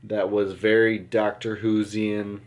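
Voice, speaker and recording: A man's voice: lowish voice, little pitch movement, slow pace. A young man chatting casually beside his wife, mostly giving brief, dry, agreeing replies. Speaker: husband and co-vlogger. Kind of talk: casual chat.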